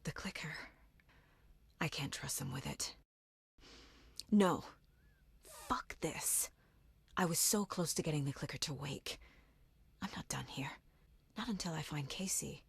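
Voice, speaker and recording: A woman's voice: deep voice, a little slow, vocal fry, pauses often, husky, no room sound, whispered, heavily acted. A woman playing a serious, determined FBI agent in a video game, often musing to herself as she investigates. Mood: hushed, tense. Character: determined, level-headed female FBI agent investigating supernatural horror. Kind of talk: dramatic video game voice lines.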